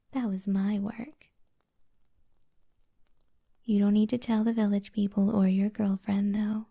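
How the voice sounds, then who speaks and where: A woman playing a kind, flirty witch healer in an intimate ASMR roleplay, speaking in a soft, breathy, often whispered voice with gentle teasing. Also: medium pitch. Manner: slow, soothing, gently teasing.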